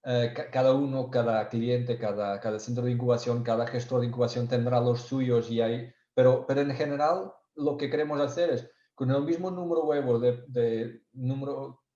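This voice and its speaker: A man's voice: mid-range voice, fairly even intonation, moderate pace, few pauses, warm tone, echoey room, lo-fi sound. A man from Portugal speaking Spanish with a Portuguese accent, presenting a technical webinar and often restarting and searching for words. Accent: Portuguese, Spanish learned in Spain and Galicia. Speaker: sales engineer presenting a webinar.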